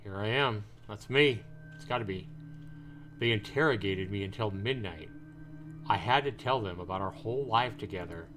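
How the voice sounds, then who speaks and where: A man's voice: lowish voice, normal speed, fairly bright tone, soft background music, lightly acted. A man voicing a distraught, defiant father as he reads a visual novel game. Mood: troubled, weary. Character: distraught, hot-tempered father, the game's suspected ex-husband.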